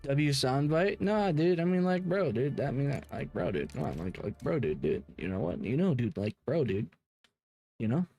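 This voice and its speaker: A man's voice: mid-range voice, sing-song, moderate pace, a little mellow. A man chatting casually while streaming a game, swearing and using plenty of slang. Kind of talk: casual livestream chat while gaming.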